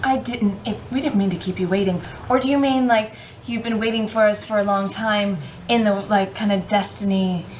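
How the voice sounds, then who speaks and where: A woman's voice: medium pitch, steady tone, moderate pace, a little hiss or hum. A woman playing a tabletop game, speaking in casual improvised roleplay.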